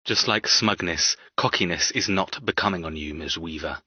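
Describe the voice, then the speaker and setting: A man playing a cold, arrogant, seductive captor in an audiobook, speaking in a deliberate, taunting manner. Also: bright, forceful tone, breathy edge, no room sound, highs cut off, full character voice. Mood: cold, mocking. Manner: low, deliberate, controlled, taunting. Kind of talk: audiobook character dialogue.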